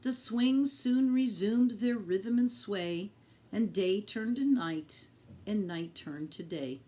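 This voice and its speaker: A woman reading a picture book aloud to children in an expressive, deliberate teacherly way, speaking directly to her young listeners. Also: medium pitch.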